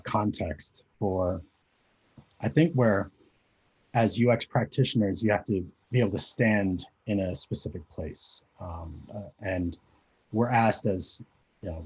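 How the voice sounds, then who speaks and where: Very husky low-voiced man presenting. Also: darkish tone, low-quality recording. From Japan. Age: 40s. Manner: hesitant, filler-heavy, conversational. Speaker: conference speaker. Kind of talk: presentation over a video call. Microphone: video call mic.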